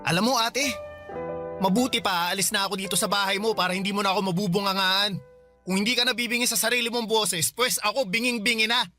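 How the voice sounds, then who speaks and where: An actor playing a defiant, resentful younger brother, argumentative and whiny, in a Filipino radio drama. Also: male voice, high-pitched, steady tone, fast, music in the background, lightly acted.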